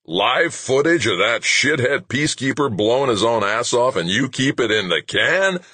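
A man's voice: lowish voice, normal speed, a bit bright, no room sound, pitched above the performer's own voice. A man voicing a furious, bullying middle-aged media boss in an audiobook, bellowing with sarcasm and swearing. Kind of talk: audiobook character dialogue.

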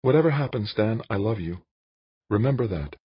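A man's voice: fairly low pitch, expressive, moderate pace, breathy edge, dry recording, highs cut off, pitched above the performer's own voice. A man voicing an anguished woman politician in an audiobook, earnest and pleading with her lover. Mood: tender, urgent. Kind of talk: audiobook character dialogue.

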